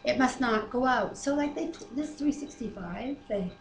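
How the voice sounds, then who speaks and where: A woman's voice: fairly high pitch, animated, moderate pace. Lady in her 50s reading bible verses and chatting, roomy sound, bit of noise. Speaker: Bible reader and co-host. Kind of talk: reading scripture aloud with casual commentary. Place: living room at home, indoors. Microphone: webcam at arm's length.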